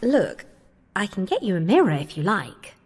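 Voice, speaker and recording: A woman's voice: mid-range voice, very animated, normal speed, a little mellow, husky. A woman playing an earnest, incredulous new scheduling worker in a comedic audio drama, questioning emphatically. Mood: hesitant, practical. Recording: long reverberant hall sound.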